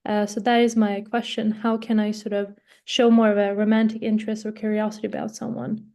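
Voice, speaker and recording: A woman's voice: medium pitch, flat delivery, brisk, a little mellow. A woman in her twenties from Sweden, a podcast guest who speaks politely and hesitantly, with frequent uhs. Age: 20s. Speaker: coaching client, podcast guest. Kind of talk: conversational interview.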